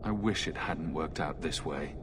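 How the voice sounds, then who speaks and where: A man's voice: rumbling low, moderate pace, noisy background. A man playing a somber, restrained hero in cinematic fantasy game dialogue, with music behind. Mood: regretful.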